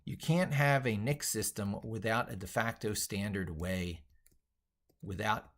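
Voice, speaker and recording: Low-pitched man, slow halting delivery. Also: fairly bright tone. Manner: halting, repeating and reworking phrases as he types; emphatic, opinionated. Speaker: tech educator and video creator. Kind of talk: thinking aloud while drafting an opinionated tech piece.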